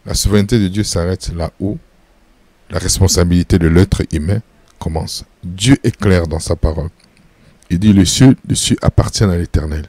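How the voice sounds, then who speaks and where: A pastor from Congo, answering interview questions in an emphatic preaching style, full of repeated phrases and rhetorical questions. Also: male voice, sits low, normal speed, darkish tone, no room sound. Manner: firm and insistent.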